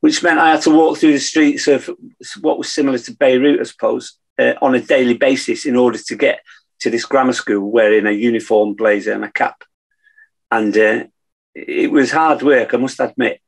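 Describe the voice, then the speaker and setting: A man in his sixties with a northern English accent reminisces casually as a podcast guest. His voice is gravelly and husky, at an average pitch. He speaks from home over a video call. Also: fairly even intonation, moderate pace. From Manchester, England. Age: 60s. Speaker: podcast guest, navy veteran. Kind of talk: casual storytelling in an interview.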